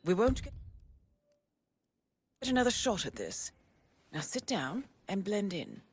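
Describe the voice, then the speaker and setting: A woman's voice: medium pitch, very animated, slow pace, breathy edge, full character voice. A woman playing a shrewd, composed spy handler in a video game, with dry, clipped wit. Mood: brisk, focused. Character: cool, shrewd, sardonic female spy handler. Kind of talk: dramatic video game acting.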